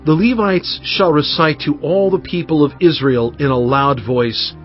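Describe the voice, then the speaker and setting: A man playing a solemn, commanding Hebrew prophet and lawgiver in a dramatized audio Bible, declaiming deliberately to his people. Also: fairly high pitch, close to an everyday voice. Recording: dull band-limited audio over music. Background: background music.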